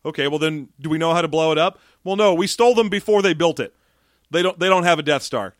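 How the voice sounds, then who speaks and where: A man co-hosts a casual chat about a bad movie, talking in a fairly high voice. He keeps cracking jokes and mimicking the characters. The sound is dry and close, with no room around it. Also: fast talker, no echo. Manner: jokey, breaks into silly put-on voices and laughs. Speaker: podcast co-host.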